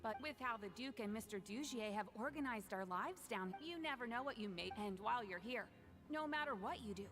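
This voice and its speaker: Woman playing a highish, friendly club member. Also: lively intonation, a little fast, close to an everyday voice. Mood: welcoming. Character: friendly, helpful female member of a prisoners' club. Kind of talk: video game character acting. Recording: game music behind the voice.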